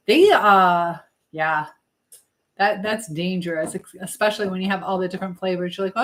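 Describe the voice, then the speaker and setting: A woman's voice: mid-range voice, fairly bright tone. A woman hosting a casual live chat, rambling and trailing off mid-thought as she talks to viewers and reads their comments. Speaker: live stream host.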